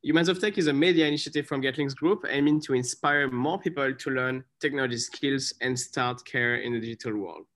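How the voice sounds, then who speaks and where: A man's voice: medium pitch, a little fast, few pauses, fairly bright tone. A man hosting an interview, chatty and encouraging, with many fillers and restarts. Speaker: interview host. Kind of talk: casual interview.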